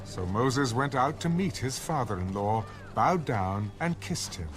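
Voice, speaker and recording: A man's voice: mid-range voice, expressive, a little slow, close to an everyday voice. A man reading scripture as the narrator of a dramatized audio Bible. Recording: music bed under the voice. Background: music.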